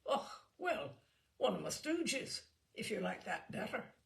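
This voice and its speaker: Eager young male Glasgow reporter, acted by a woman narrator, polite but pushy, animated audiobook character with touches of creak. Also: mid-range voice, a little slow. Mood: agreeable. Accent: Glasgow Scottish. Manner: chatty, apologetic yet persistent.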